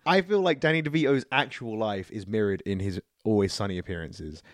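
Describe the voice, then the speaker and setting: A man chatting casually as a podcast co-host, animated and jokey, often acting out imagined quotes. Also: on the low side, a little fast, dry recording, clean studio sound. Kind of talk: casual chat, film and games banter.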